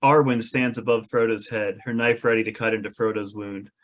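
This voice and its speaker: A man reading scripted stage directions for a fantasy screenplay as narrator, in measured storytelling style. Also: fairly low pitch, fairly even intonation.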